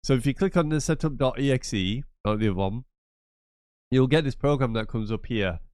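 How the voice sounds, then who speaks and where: A man's voice: medium pitch, a little fast, a little mellow, clear, resonant tone, no room sound, studio quality. Stuffy-nosed man, chatty tutorial. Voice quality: nasal. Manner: chatty, repetitive, rambling asides. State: congested from a cold. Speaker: gaming channel host. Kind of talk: casual screen-share tutorial.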